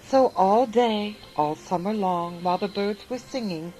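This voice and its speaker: A woman narrating a rhyming storybook aloud with a lilting, expressive delivery, with faint music behind. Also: medium pitch, unhurried, low-quality recording. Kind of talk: storybook reading in rhyme.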